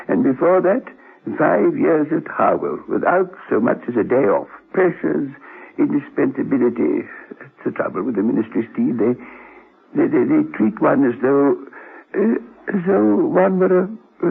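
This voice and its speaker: A man's voice: mid-range voice, expressive, moderate pace, gravelly, breathy edge, full character voice. An actor playing a nervous, flustered elderly inventor in a radio drama, hesitant and stammering as he talks. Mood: agitated, defensive. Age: older. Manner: hesitant, stammering, repeats himself. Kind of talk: classic radio drama acting. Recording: muffled, phone-like old radio recording.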